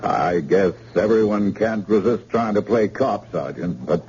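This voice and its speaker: An actor playing a brisk, matter-of-fact surgeon in an old-time radio drama. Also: male voice, fairly low pitch, animated, darkish tone. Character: calm male surgeon, brisk and assured. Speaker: doctor. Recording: hissy, muffled old radio recording.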